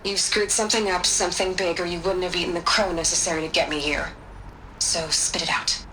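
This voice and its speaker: A blunt, no-nonsense scientist, low-pitched and cutting. Her tone is bright and forceful, with faint ambient noise behind. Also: steady tone, a little fast, poor audio. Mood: impatient. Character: blunt female scientist, impatient and sharp.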